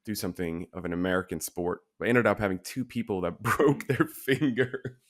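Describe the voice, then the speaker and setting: A man from Sweden hosting a casual interview podcast, talking in a relaxed, thoughtful way with many fillers. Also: on the low side, normal speed. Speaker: podcast host.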